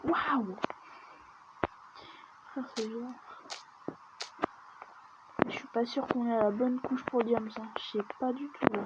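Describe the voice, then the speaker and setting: A woman's voice: fairly high pitch, takes it slowly, pauses often. A woman giving casual let's-play commentary, chatting and muttering to herself as she plays, often dropping to a near-whisper. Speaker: gaming video creator. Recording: dull lo-fi mic with steady background noise.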